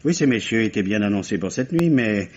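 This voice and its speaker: An actor playing a hesitant, apologetic factory director in an old French radio mystery, speaking politely. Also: male voice, fairly low pitch, expressive, fairly quick, darkish tone, lightly acted. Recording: dull, hissy old radio drama recording.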